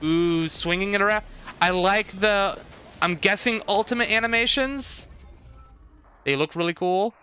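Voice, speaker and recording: A man's voice: high-pitched, measured pace, pushed and bright, clean tone, soft background music. A man in casual live stream chat, thinking aloud and talking with his viewers as he reacts.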